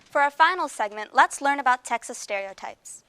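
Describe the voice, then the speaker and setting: A woman's voice: high-pitched, expressive, fairly quick, sharp tone. A teenage girl anchoring a student news show, introducing segments. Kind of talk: scripted show links.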